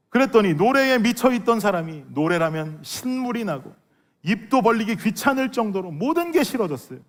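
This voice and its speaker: A middle-aged man with a hoarse, worn voice, preaching an earnest, emphatic sermon as a pastor and putting rhetorical questions to his congregation. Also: high-pitched. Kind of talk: Sunday sermon with personal testimony.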